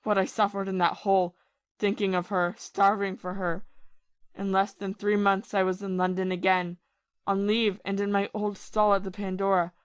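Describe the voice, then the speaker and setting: A woman playing a bitter, lovesick, ruined ex-army captain in a period audio play, confessing passionately and haltingly. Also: medium pitch, little pitch movement, smooth, no room sound, natural voice. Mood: anguished. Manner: confessional, impassioned, halting with repeated phrases.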